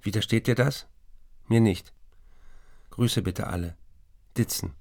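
A troubled writer in an asylum reading a formal letter. His voice is gravelly and low, recorded dry and close for a radio play. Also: takes it slowly, darkish tone, no room sound, exaggerated voice.

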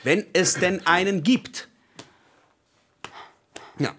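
A man's voice: high voice, extremely slow, a bit bright, exaggerated voice. An actor playing a chatty, fussily generous man in a waiting room, in a naturalistic radio play style.

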